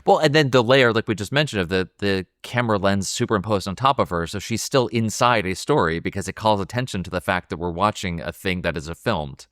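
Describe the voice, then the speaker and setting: Low, smooth-voiced man discussing film. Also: a little fast, hardly any pauses, fairly bright tone, no room sound, studio quality. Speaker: podcast co-host.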